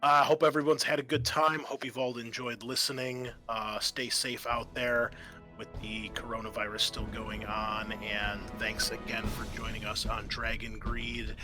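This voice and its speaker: Male podcast host and game master, average pitch, very sharp bright voice, faint music behind. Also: flat delivery, measured pace. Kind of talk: casual podcast hosting.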